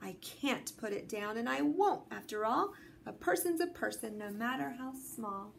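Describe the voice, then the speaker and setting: A woman's voice: fairly high pitch, expressive, measured pace, creaky, slight background noise. A woman voicing a large, kind and loyal male elephant who speaks earnestly and protectively.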